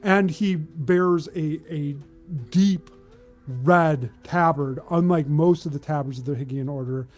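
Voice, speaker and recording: A man working as game master, narrating a tabletop game in a measured, descriptive way with halts mid-phrase. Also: on the high side, faint music behind.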